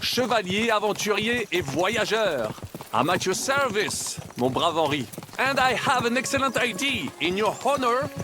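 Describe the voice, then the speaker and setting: An actor playing an older, boastful French knight in a video game, with a French accent and a theatrical, pompous manner that peppers speech with French. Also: male voice, high voice, noisy background. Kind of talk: video game dramatic acting.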